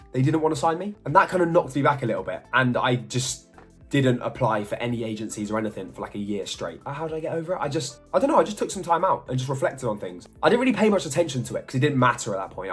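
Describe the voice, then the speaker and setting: A man's voice: mid-range voice, expressive, fast, slightly rough, soft background music. A man, chatting through a casual Q&A vlog with jokey, self-mocking asides. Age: 20s. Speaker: model and YouTuber.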